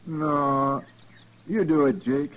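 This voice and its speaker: High-voiced Western posse cowhand. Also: male voice, fairly even intonation, slow. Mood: uneasy. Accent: American. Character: uneasy cowhand in a lynching posse. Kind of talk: 1950s American radio western acting. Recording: dull, faintly noisy old radio recording.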